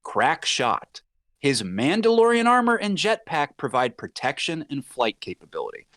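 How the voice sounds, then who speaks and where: A man's voice: on the high side, normal speed, no echo, studio quality. A man in his thirties chatting casually and emphatically as a podcast guest, swearing freely. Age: 30s. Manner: animated, emphatic, profane. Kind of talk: casual chat.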